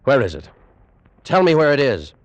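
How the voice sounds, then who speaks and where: An actor playing an anguished ancient Egyptian priest, weary of endless life, in grave, theatrical old-time radio drama style. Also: male voice, mid-range voice, big pitch swings, no echo, muffled recording, natural voice. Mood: desperate fury. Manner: theatrical, grave, slow and brooding.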